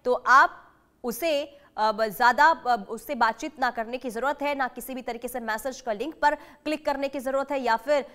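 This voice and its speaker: A woman's voice: high voice, normal speed, bright, forceful tone. A woman anchoring live breaking news, speaking in an urgent, cautioning and repetitive way.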